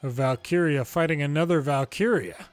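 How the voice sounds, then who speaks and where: A man's voice: on the high side, moderate pace, soft background music. A man reading video game dialogue aloud dramatically, acting out each character's lines in turn.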